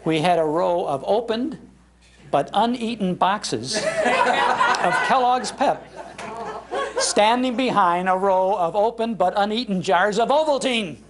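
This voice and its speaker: An older man hosting a live talk, telling nostalgic stories in an animated, emphatic way. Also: sits high, measured pace, heavy background noise. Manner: animated storyteller, emphatic repetition. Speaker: radio show host giving a talk. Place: public library talk before an audience.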